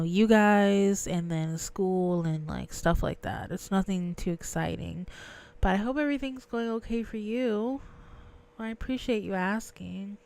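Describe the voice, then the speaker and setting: A woman's voice: lowish voice, darkish tone, smooth. Stuffy, nasal young woman streamer. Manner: chatty, answers viewers' messages. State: stuffy nose from crying. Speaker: livestream host. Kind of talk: casual livestream chat.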